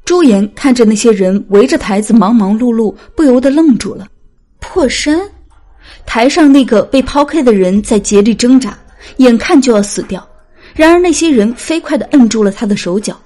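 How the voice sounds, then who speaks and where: A woman's voice: a little high, animated, moderate pace, warm tone. A woman reading a dramatic scripted story as an audiobook narrator.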